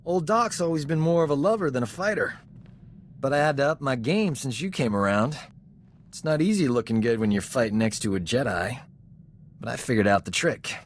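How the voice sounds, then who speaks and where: An actor playing a cocky, flirtatious medic in a video game, smooth-talking and boastful, with a theatrical way of talking. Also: male voice, medium pitch, animated, fairly quick, a little hiss or hum, lightly acted. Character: cocky, flirtatious male medic, self-promoting charming rogue. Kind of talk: video game character dialogue.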